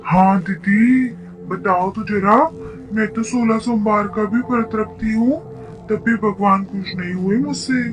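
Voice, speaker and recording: Gossiping village worshippers voiced by a man exclaim in a high, slow-paced voice. They are devout, sceptical cartoon storybook folk, with music behind. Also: rough recording. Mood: dismayed.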